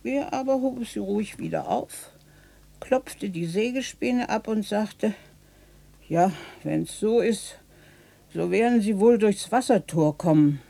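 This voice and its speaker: A woman's voice: mid-range voice, creaky, dark tone. An actress playing an old widowed townswoman telling of her stubborn husband in a folksy, vivid way in a German radio play. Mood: folksy, reminiscing. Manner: folksy, unhurried, vivid oral storytelling.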